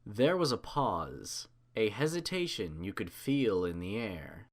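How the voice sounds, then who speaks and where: A man's voice: fairly low pitch, sing-song, a little slow. A man reading a story aloud as narrator, in a scripted storybook style.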